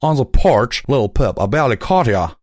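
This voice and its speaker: A man voicing a gruff, protective male pegasus with a folksy drawl, scolding a friend. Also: mid-range voice, big pitch swings, fairly quick, darkish tone, no room sound, studio quality, voice pushed up. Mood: angry.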